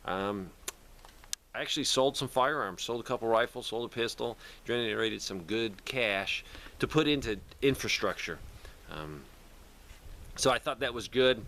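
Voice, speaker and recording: A man's voice: mid-range voice, animated, moderate pace, pushed and bright, a little hiss or hum. A man talking casually to camera in a plainspoken, rambling way, like a video host showing his gear. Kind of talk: casual product talk to camera.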